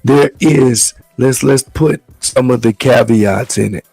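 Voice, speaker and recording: Hoarse, gravelly-voiced male talk host. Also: mid-range voice, a little mellow, dry recording, soft background music, low-quality recording. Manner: measured, loose, repeats words as he talks. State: hoarse from the flu. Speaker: show host. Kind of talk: casual talk show hosting.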